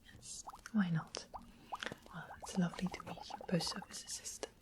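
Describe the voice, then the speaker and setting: A woman voicing a sweet, mischievous witch in an ASMR fantasy roleplay, in a breathy whisper with an unhurried, polite, coaxing manner. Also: fairly low pitch, fairly even intonation, lightly acted. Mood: sweetly sly. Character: sweet-smiled, mischievous witch of the west, softly sly.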